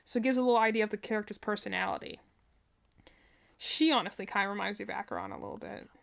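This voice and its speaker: A woman's voice: mid-range voice, huge pitch swings, fast, creaky. A woman giving casual reaction commentary as a streamer, rambling and often searching for her words. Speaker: streamer reacting to game character trailers.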